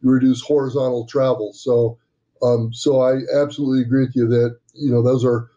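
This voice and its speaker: A man's voice: medium pitch, flat delivery, a little fast, dark tone. A man in his sixties talking conversationally as a guest in a business interview over a video call. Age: 60s. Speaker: business development director, podcast guest. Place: indoor office room, on a video call. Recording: dull, lo-fi video call audio.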